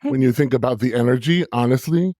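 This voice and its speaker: A man at a mid pitch, a guest on a casual podcast. He is eager and upbeat and asks questions brightly. His voice is close and dry. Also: fast talker, no room sound.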